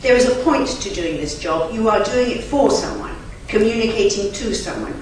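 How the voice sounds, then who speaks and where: A woman's voice: medium pitch, normal speed. Emphatic woman lecturing in an echoey hall. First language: German. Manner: emphatic, chatty, with lively asides. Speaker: conference interpreter and trainer. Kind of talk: lecture to a live audience. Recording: very echoey hall, from far off, faint noise.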